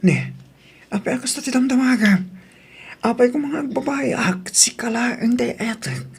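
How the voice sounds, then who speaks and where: Creaky-voiced young boyfriend played by a man. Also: extremely high pitch, animated, normal speed, exaggerated voice. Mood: defensive, pleading. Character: Ronald, young man, defensive devoted boyfriend. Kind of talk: Ilocano audio drama acting.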